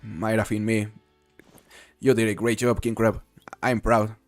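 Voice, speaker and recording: A man's voice: on the low side, measured pace, no echo, faint music behind. A man from Mexico hosting a casual game live stream, chatting with viewers while he plays. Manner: relaxed, chatty, answers viewers while playing. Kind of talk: casual live-stream commentary, reading chat.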